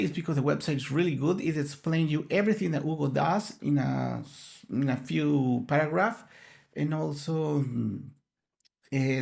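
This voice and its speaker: Male conference presenter, Spanish native, raspy fry, slightly high pitch, earnest and a little halting. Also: darkish tone. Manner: earnest, explanatory, searching for words. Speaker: conference speaker.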